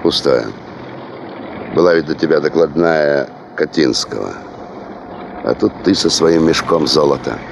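An actor playing a high-ranking official in a radio play, wry and teasing, with a grandiose ironic manner. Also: male voice, measured pace, creaky, long pauses, noisy background, exaggerated voice. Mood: frank, wry. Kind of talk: Soviet radio play acting.

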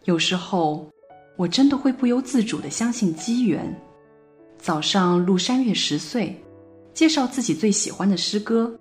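A woman's voice: medium pitch, expressive, moderate pace, soft background music. A woman presenter reading a scripted, reflective narration. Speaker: podcast presenter.

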